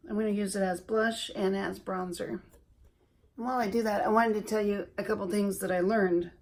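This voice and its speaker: A woman from Alaska chatting slowly and self-deprecatingly while doing her makeup for a beauty vlog. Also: medium pitch, steady tone, a little mellow. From Alaska, USA. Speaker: beauty vlogger.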